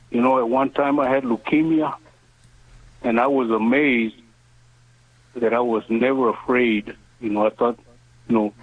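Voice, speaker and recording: A man in his seventies calling in to a radio show, speaking earnestly in halting, deliberate phrases over the telephone. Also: mid-range voice. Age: 70s. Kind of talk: earnest phone-in testimony and prayer request. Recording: narrow tinny phone line.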